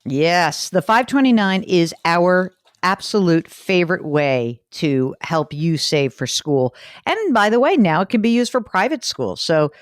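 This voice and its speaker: A woman's voice: fairly low pitch, animated, a little slow, no room sound, clean studio sound. A woman hosting a personal finance show, talking in a chatty, emphatic way. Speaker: money show host. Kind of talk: conversational radio podcast talk.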